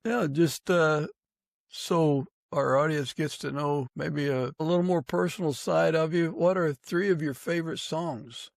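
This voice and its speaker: A man's voice: fairly high pitch, measured pace, gravelly, no echo, clean studio sound. A man hosting an interview podcast, giving introductions and putting questions to his guest.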